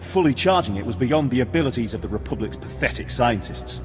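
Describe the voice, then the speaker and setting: A man's voice: medium pitch, animated, brisk, natural voice. An actor playing a haughty, hot-tempered male Imperial officer in a video game, pompous and imperious. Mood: bitter, cold. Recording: reverberant hall with loud game music.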